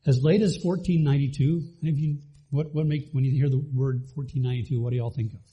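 A man's voice: mid-range voice, steady tone, fast talker, husky, highs cut off. A man from the Bahamas giving a conversational church teaching talk to a congregation, asking the audience questions as he goes.

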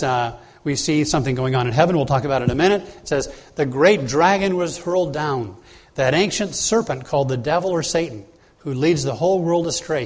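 A man preaching an expository sermon, explaining scripture verse by verse in a plain teaching tone with frequent "uh"s and restarts. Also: fairly high pitch. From Bahamas.

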